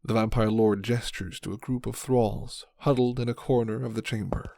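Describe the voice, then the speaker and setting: A man's voice: on the low side, steady tone, moderate pace, vocal fry, warm tone, no room sound. A man narrating a scripted ASMR roleplay story.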